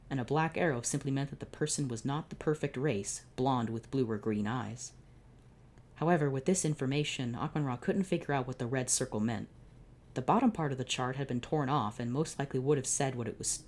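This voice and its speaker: A woman's voice: very deep voice, darkish tone. A woman hosting her channel, reading fan fiction aloud and breaking off for sarcastic, profane asides. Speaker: channel host.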